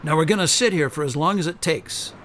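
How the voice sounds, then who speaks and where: A man's voice: a little high, a little fast, fairly bright tone, slight background noise, lightly acted. An actor playing an earnest, articulate male attorney turned author in a radio drama. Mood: stern, insistent.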